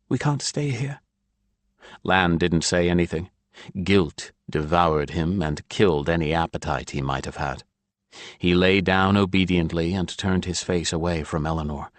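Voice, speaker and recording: Male audiobook narrator, low voice, scripted fantasy storytelling, close dry recording. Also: no room sound.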